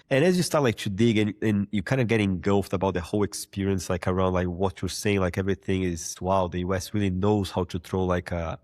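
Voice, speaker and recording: Brazilian guy with a heavy accent, deep voice, kinda rambly, lots of "like", dry sound. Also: a little mellow, no echo. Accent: Brazilian Portuguese. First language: Portuguese. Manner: rambling, leans on "like" and restarts. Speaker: podcast guest. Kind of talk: conversational interview.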